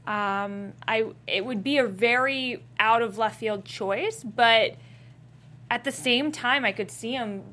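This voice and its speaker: A woman's voice: a little high, slow, sharp tone. Chatty, opinionated woman co-host. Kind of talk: casual chat.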